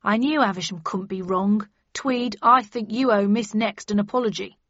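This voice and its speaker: A woman voicing a stern but kindly male official in an audiobook, with a brisk, commanding manner. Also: mid-range voice, fairly bright tone, no room sound, highs cut off. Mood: pleased, kindly. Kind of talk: audiobook character acting.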